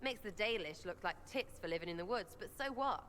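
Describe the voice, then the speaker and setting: A woman's voice: medium pitch, expressive, a little fast, fairly bright tone, big-room sound, a little hiss or hum, close to an everyday voice. A woman playing a brash, blunt young archer in a video game, slangy and scornful. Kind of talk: video game character dialogue.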